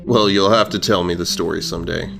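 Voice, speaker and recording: A man's voice: low voice, fairly even intonation, fast talker, creaky. A man playing a genial older corporate executive in audio fiction, talking in a familiar, patronizing way. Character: genial older male corporate executive, grey-haired boss. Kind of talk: audio fiction character reading. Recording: music behind the voice.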